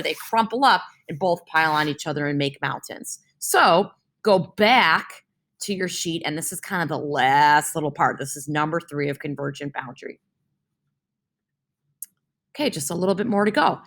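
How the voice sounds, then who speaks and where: A woman's voice: on the low side, a bit bright. Woman teacher who keeps asking "right?". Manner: explains with homely comparisons and keeps checking in with "right?". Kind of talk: reading a science text aloud for a class.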